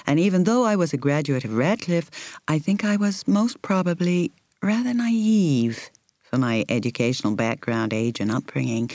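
A woman reading an audiobook in the role of a reflective woman in her thirties recalling her romance, in a measured, intimate, expressive way. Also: rumbling low, dry recording, full character voice. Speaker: audiobook reader. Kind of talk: scripted first-person narration.

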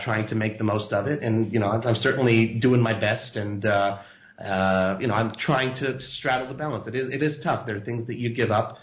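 An American man answering interview questions casually, hesitant and full of fillers and restarts. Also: mid-range voice. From United States. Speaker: interview guest. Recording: roomy, muffled sound.

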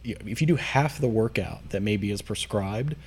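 A man's voice: fairly low pitch, unhurried, a little mellow, slightly rough. An American man from the Cincinnati area, talking casually as a guest in an interview. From Cincinnati area, Ohio, United States. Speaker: podcast guest, amateur CrossFit athlete.

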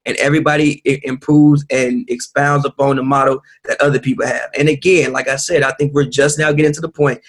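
A man with an even, flat delivery makes his case in a casual debate. He stays measured and patient, repeating his point until he gets heard. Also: medium pitch, poor audio. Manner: calm, measured, keeps insisting on being heard.

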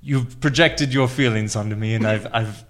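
A man playing an old, frail lab rat, gently and haltingly pleading in improvised radio theater. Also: fairly low pitch, close to an everyday voice. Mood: grateful, kindly. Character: old, frail, much-experimented lab rat, weary and kindly.

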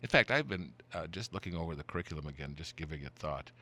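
A very low-voiced older man hosts a relaxed podcast interview. He talks in long, meandering sentences, restarts himself often and chuckles here and there. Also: quick pace, slight background noise. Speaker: podcast host. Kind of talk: casual interview.

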